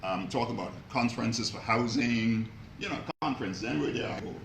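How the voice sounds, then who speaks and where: A man giving a motivational talk to a live audience, energetic, asking them questions and calling out to them. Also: mid-range voice, brisk, creaky, reverberant, a little hiss or hum. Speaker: motivational speaker. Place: echoey room with an audience.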